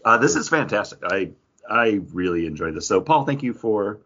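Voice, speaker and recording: A man's voice: lowish voice, animated, moderate pace, a bit bright, dull audio. A man co-hosting a podcast in casual, jokey conversation with friends. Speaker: podcast co-host and editor. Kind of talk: casual chat about beer and the show.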